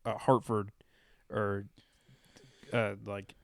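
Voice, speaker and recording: An American man with a clear, smooth voice, bantering as a podcast co-host. He is irreverent and swears freely, in a casual comedic style, recorded close and dry. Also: lowish voice, little pitch movement, drawn-out words, dry recording. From New England, United States.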